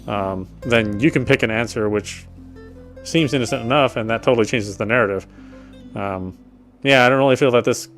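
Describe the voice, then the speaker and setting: A man doing casual let's play commentary and reading a game's story text aloud. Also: lowish voice. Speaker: game streamer. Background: game music.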